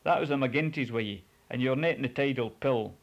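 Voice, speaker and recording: A blunt Scottish head bailiff who snaps out short, scornful demands, as a storyteller puts him on. His voice is firm and a touch louder than the telling around it. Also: mid-range voice, a little fast, muffled recording, close to an everyday voice. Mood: scoffing. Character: gruff scoffing middle-aged water bailiff man.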